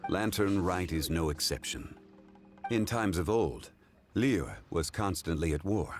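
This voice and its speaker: A low, composed voice of a refined gentleman in a video game. He speaks formally and eloquently, contemplative and unhurried in manner. Music plays clearly underneath. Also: lively intonation. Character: dignified, leisurely, ancient-souled gentleman of refinement. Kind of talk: English video game voice acting. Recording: music behind the voice.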